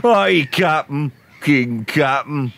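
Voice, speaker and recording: A man's voice: a little high, lively intonation, slow pace, gravelly, fairly bright tone, heavily acted. A man playing a practical, wary pirate who commands the crew in an audio drama. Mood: brisk.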